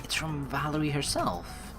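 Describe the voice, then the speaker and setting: Animated low-pitched woman streamer. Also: a little slow. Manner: expressive, dramatic reactions. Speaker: let's play streamer. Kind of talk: game playthrough, reading aloud and commenting. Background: game music.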